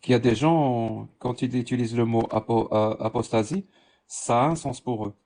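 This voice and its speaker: Dark, gravelly-voiced man, halting. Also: mid-range voice. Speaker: live discussion panellist. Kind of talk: casual explanatory talk.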